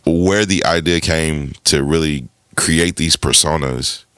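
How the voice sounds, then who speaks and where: This man has a very deep, smooth voice, and he speaks slowly as he asks his question. The clip sounds dry and close, with no room around it. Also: fairly bright tone, no echo. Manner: smooth, unhurried. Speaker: former podcast guest asking a recorded question.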